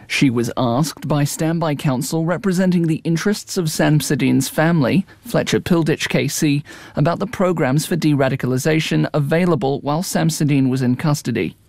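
A man's voice: on the high side, normal speed, vocal fry, a little mellow, no room sound. Man, New Zealand accent, reporter, formal, scripted, dry. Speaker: court reporter.

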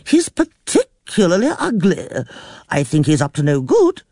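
A woman's voice: on the low side, wildly swooping pitch, measured pace, mellow, slightly rough, no echo, clean studio sound, pitched above the performer's own voice, heavily acted. A man voicing a fussy, dramatic elderly aunt who complains bluntly and swears, in a storybook reading. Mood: fretful, tart. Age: older.

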